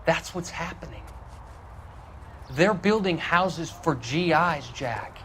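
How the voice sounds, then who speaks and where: An actor in a video game playing an earnest, naive war veteran who pleads haltingly with his old comrade. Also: on the high side, expressive, fairly bright tone, slight background noise. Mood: pleading, defensive. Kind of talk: dramatic video game acting.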